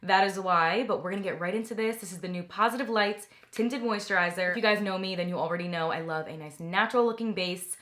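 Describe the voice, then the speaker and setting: A young woman talking through a makeup product review in a chatty, enthusiastic way, her voice slightly raspy from a recent cold. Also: mid-range voice, fast, few pauses, a bit bright. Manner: chatty, enthusiastic, many "like" fillers. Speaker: beauty vlogger.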